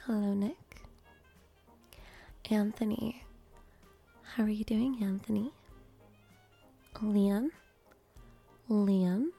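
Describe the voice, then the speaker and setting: A woman with a mellow, creaky voice performs ASMR over faint music. She speaks very slowly in short, gentle phrases, often dropping to a breathy whisper, with space left between each one. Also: mid-range voice. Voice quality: breathy whisper mixed with soft speech. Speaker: host greeting viewers by name. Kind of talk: ASMR name reading.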